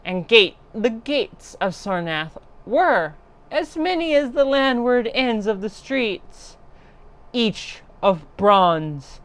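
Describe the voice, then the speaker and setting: A woman reading a literary horror story aloud in a solemn, deliberate, dramatic storytelling style. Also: lowish voice, clear, resonant tone.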